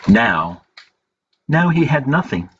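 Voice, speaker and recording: A man's voice: mid-range voice, very animated, fairly bright tone. Man reading storytime, dull noisy recording. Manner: measured reading with pauses, informal asides. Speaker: librarian and storytime host. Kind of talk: reading picture books aloud, storytime. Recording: dull lo-fi audio with clear background noise.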